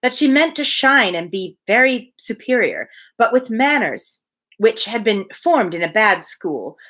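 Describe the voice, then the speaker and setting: Animated woman reading a novel aloud. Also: on the high side, unhurried. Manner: playful, animated. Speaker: reader, livestream host. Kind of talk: live novel reading with casual commentary.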